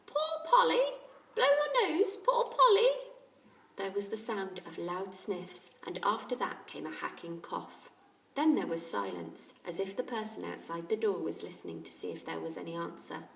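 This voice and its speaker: A woman's voice: fairly low pitch, normal speed, gravelly, slight background noise. A woman teacher narrating a children's story aloud. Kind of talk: children's audiobook-style narration. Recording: roomy, distant microphone.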